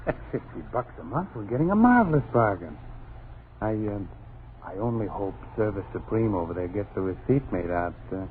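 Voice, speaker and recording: Breezy, wisecracking husband, very animated. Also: male voice, lowish voice, brisk. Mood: breezy, dismissive. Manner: flippant, slangy, teasing. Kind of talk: vintage radio drama acting. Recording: tinny, noisy old radio broadcast.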